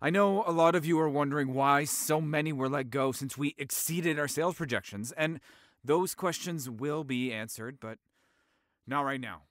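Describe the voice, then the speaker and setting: Smug, higher-voiced male boss, acted. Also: moderate pace, fairly bright tone, no room sound, clean studio sound, lightly acted. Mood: smug, celebratory. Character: smug, wealthy male tech boss addressing staff. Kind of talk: party speech in audio drama.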